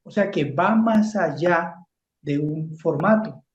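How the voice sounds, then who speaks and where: A man's voice: a little high, slow. A man explaining ideas as a guest academic in a reflective, emphatic conversational way. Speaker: radio educator and guest panelist. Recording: echoey, dull muffled sound.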